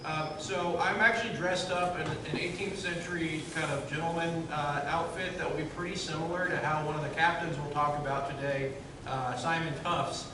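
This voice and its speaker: A man giving a loud, chatty public history lecture to an audience, full of fillers and restarts. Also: fairly high pitch, fairly even intonation, normal speed. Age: 30s. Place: large echoey room, in front of an audience. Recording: very echoey room, distant, audible noise.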